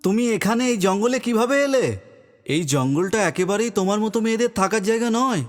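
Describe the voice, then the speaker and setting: A man's voice: sits high, fast talker, close to an everyday voice. A man voicing a brave, kind young prince in a cartoon fairy tale, earnest and reassuring. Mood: concerned. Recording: long hall reverb, music underneath.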